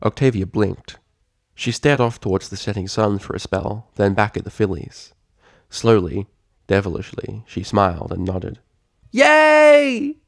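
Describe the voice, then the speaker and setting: A man's voice: on the low side, steady tone, moderate pace, gravelly, dry recording, clean studio sound. A man reading fan fiction aloud as a scripted, dramatic story narrator.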